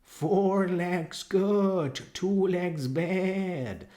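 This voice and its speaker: Man as high, drawn-out bleating sheep. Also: mellow. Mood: mindless chanting. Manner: drawn-out slogan chanting. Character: flock of bleating sheep chanting mindlessly.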